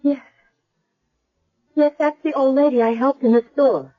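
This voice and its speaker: A woman's voice: high voice, fairly even intonation, measured pace. An actress playing a young woman with amnesia, hesitant and stammering, in a 1970s radio drama. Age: young adult. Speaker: patient with amnesia. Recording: dull old radio broadcast.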